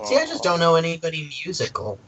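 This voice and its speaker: A woman calls in over video and chats in a giggly, self-deprecating way. She has a slight lisp, and her pitch swings up and down with a lot of life. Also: wildly swooping pitch, quick pace, a crowd in the background, low-quality recording. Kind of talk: casual chat.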